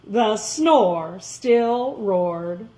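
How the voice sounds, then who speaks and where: A woman reading a children's story aloud in a dramatic, expressive way, drawing out words for emphasis. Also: medium pitch, drawn-out words.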